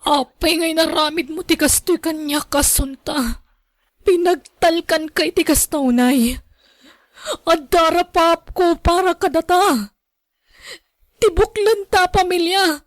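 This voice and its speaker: A woman plays a betrayed Filipino lover in a radio drama. She is emotional and full of reproach, her voice pitched high in a dry recording. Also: slow, no room sound. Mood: hurt reproach. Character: betrayed, wounded lover confronting an unfaithful partner.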